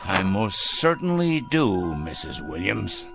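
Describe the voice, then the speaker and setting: A man's voice: low voice, big pitch swings, takes it slowly, vocal fry, over music, full character voice. An actor in a radio drama playing a fussy, sanctimonious, secretive man obsessed with judging evil, speaking precisely and condescendingly. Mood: self-righteous, menacing. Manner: pompous, condescending, precise and theatrical.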